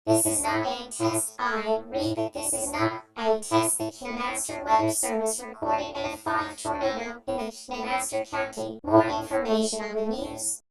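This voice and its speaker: A woman's voice: high-pitched, moderate pace, gravelly, hardly any pauses. A woman giving stilted, formal scripted announcements with synthesizer music behind her. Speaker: emergency alert announcer and news reader. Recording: very echoey, music-backed track.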